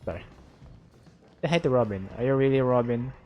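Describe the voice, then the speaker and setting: A man's voice: mid-range voice, brisk, highs cut off, soft background music. A man with a Filipino accent giving casual, playful commentary on a game livestream. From Philippines. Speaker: livestream gamer.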